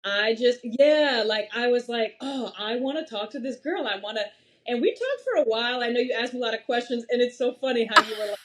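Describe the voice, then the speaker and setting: A young woman from Massachusetts, a podcast guest, talking at length in an animated, storytelling way. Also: fairly high pitch, a little fast, slight background noise. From Massachusetts, USA. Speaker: podcast guest, wealth strategist. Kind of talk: casual interview chat.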